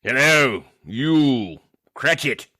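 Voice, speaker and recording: A man's voice: medium pitch, animated, extremely slow, pushed and bright, no room sound, studio quality, heavily acted. A man playing a reformed old miser in a radio play, theatrical and teasing, with chuckles in his delivery. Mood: mock stern. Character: reformed old miser, gleeful, mock-stern then merry. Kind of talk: stage-style radio play acting.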